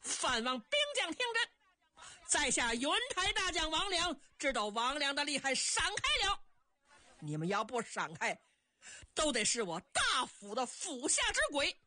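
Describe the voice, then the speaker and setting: Woman voicing a fierce, high-pitched declaiming warrior general. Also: big pitch swings, measured pace, no echo, exaggerated voice. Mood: defiant, fierce. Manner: loud, declamatory battle cries. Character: bold, defiant male general in an ancient war tale.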